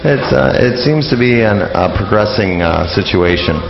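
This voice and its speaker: A man's voice: on the low side, expressive, moderate pace, vocal fry, over music. A man talking casually as an interview guest, hesitant and rambling. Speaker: interview guest, old-time radio enthusiast. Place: live radio lounge with people coming and going. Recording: muffled, echoey room with busy crowd.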